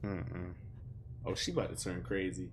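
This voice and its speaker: A man's voice: sits low, little pitch movement, moderate pace, background noise. A man in his twenties with an American accent, giving casual, slangy reaction commentary in a conversational way. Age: 20s. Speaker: reaction video host. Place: small bedroom, indoors.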